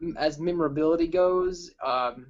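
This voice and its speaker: A young man chatting casually as an amateur film critic, opinionated and a bit halting in delivery. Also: fairly high pitch, dull audio. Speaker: amateur film critic on a group review show. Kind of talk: casual review chat.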